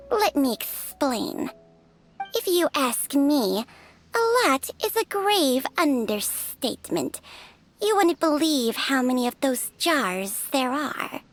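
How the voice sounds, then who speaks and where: A woman's voice: high-pitched, exaggerated voice. A woman voicing a small, lazy, sardonic creature companion in a video game, with a teasing, blunt drawl. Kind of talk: scripted game voice lines. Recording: music behind the voice.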